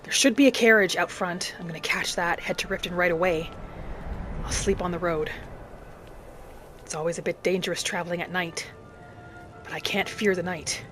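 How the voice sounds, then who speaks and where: A woman voicing an earnest, vengeful young battle mage in a dramatic, deliberate first-person fantasy roleplay. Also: on the low side, steady tone, over music, natural voice. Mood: reflective. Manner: dramatic, deliberate, thinking aloud in character.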